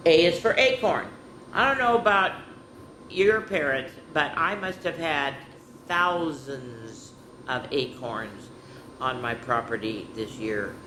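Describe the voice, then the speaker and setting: Slow, chatty storytime lady. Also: a bass voice. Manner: animated, chatty, playful asides. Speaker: children's story time host. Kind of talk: reading picture books to kids. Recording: roomy with background noise.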